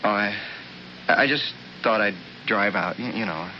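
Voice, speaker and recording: A man's voice: fairly low pitch, unhurried, close to an everyday voice. An actor playing a troubled, obsessive man grieving his missing wife, hesitant and earnest, in 1970s radio drama style. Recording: dull, noisy vintage radio recording.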